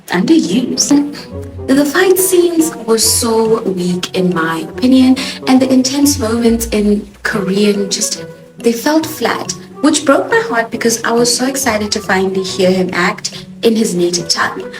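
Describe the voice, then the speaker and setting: A young woman, a native Swahili speaker with a Johannesburg accent, giving a sassy, expressive TV show review as host. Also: fairly high pitch, measured pace. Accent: South African (Johannesburg). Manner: expressive, sassy, animated emphasis. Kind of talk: casual opinionated review. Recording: echoey room with music behind.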